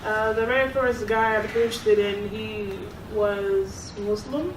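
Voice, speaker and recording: A young woman from South Korea talks in a loose, chatty way and laughs easily between thoughts. She sounds a little distant from the mic, in a reverberant room with clear background noise. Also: mid-range voice, steady tone, unhurried. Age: young adult. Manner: chatty, laughs often, many restarts. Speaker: interviewee, club secretary. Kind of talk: casual interview.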